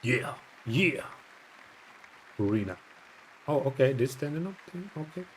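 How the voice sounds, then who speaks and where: Animated, unhurried man reacting to a game. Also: medium pitch, slight background noise. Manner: slangy, exclamatory, sweary. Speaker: game streamer. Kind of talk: casual live reaction commentary. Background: game music.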